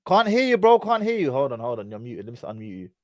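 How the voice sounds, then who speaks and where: A man's voice: mid-range voice, sing-song, fast talker, dry recording, highs cut off. A man hosts a live call-in stream, his voice close and dry in the mic. He talks in a direct, brotherly, slangy way. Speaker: host. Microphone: online call.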